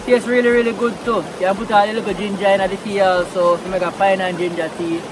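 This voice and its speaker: Relaxed Jamaican vlogger, a man with a high voice and a Patois accent, filmed outdoors by a river with noisy water behind. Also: fast talker. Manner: relaxed, chatty, mixing Patois into English. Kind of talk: casual vlog talk outdoors.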